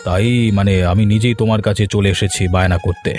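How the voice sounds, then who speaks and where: A man voicing a generous, sentimental village gentleman in a cartoon, speaking effusively and benevolently. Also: on the low side, fairly even intonation, brisk, faint music behind, lightly acted. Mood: friendly, coaxing. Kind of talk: cartoon character dialogue.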